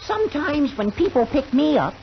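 A man's voice: extremely high pitch, very animated, normal speed, vocal fry, over a noisy crowd, exaggerated voice. A man playing a bouncy, eager little boy rag doll in a 1940s children's radio play. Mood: earnest. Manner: eager, boyish. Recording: muffled, hissy 1940s radio recording.

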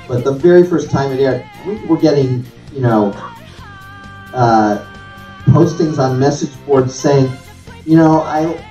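A man's voice: a little high, dark tone. A man casually telling an anecdote as an interview guest, recorded in a room at a distance. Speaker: interview guest, show creator. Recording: echoey, distant, dull room audio. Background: faint music.

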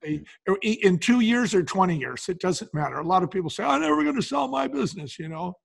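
A man's voice: high-pitched, big pitch swings, fast, creaky, husky. An older man speaking conversationally as a business advisor in an interview, explaining things point by point with colloquial asides. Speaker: business advisor and podcast guest.

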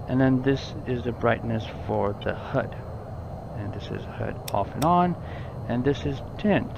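A man with a mid-pitched voice talks through a flight simulator cockpit as a tutorial presenter. His delivery is informal and explanatory, often repeating himself to clarify. The sound is dull and lo-fi, with clear noise behind him.

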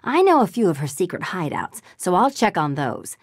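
An actress playing a determined, streetwise female villain in an animated video game. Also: fairly low pitch, normal speed, husky, no room sound, heavily acted. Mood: urgent, determined. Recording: music behind.

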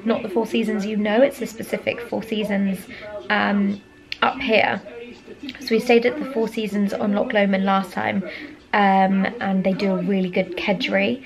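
A woman's voice: medium pitch, flat delivery, moderate pace, gravelly, a crowd in the background, poor audio. A young adult woman, chatting casually and informally to camera as a vlogger. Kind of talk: casual vlog chat to camera.

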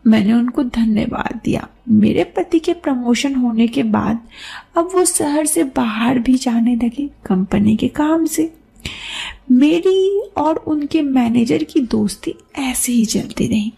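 A woman's voice: sits high, expressive, takes it slowly, gravelly, dark tone, husky. A woman narrating a scripted story in the first person, as if recounting her own experience.

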